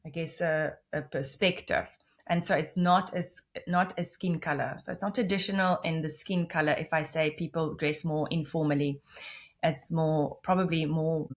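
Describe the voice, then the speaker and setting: A Namibian woman whose first language is Afrikaans, a podcast guest explaining things at length with many restarts and self-corrections. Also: sits low. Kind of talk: conversational interview.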